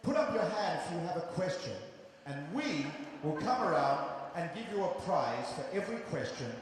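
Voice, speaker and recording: A man's voice: a little high, big pitch swings, moderate pace, a little hiss or hum. A man in his forties hosting a question session for children after a play, chatty and jokey as he talks straight to the kids. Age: 40s. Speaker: actor hosting a post-show Q&A. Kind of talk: cheerful audience Q&A with children. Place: theatre stage in a large hall. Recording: echoey hall recorded from far off.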